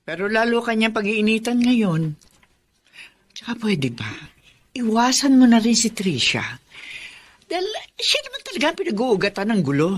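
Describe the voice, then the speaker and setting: Worried Filipina mother, expressive pitch. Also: moderate pace, long pauses. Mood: concerned, scolding. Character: worried, protective, gently scolding mother. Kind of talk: Filipino radio drama acting.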